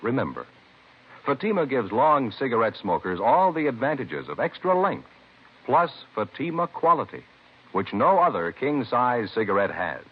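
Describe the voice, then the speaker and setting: A man reading a scripted 1950s radio commercial as announcer, with deliberate sales emphasis. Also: medium pitch, sing-song, husky. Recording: muffled vintage radio recording.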